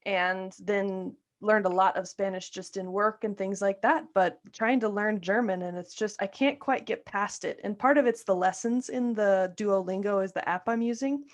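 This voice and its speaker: Woman from new mexico hosting, upbeat and chatty, mid pitch. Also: normal speed, few pauses. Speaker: host, coach. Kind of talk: casual interview.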